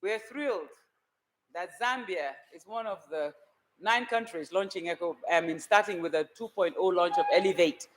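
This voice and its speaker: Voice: mid-range voice, poor audio. A spokesperson giving semi-spontaneous public remarks in an emphatic way, stressing words by repeating them. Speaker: spokesperson interviewed in news clip.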